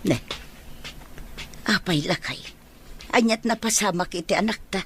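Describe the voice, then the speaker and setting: A woman's voice: mid-range voice, unhurried, a bit bright, husky. A woman playing a caring, homely older mother in a radio drama. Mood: fussing, concerned. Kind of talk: Ilocano radio drama acting.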